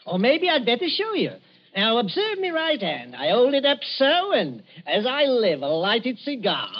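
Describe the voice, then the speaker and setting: Cocky london stage magician, high husky voice swooping about, breezy patter, muffled old radio play. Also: male voice, wildly swooping pitch, normal speed, full character voice. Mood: showy, breezy. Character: brash cockney showman magician posing as witch doctor. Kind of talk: old-time radio drama acting.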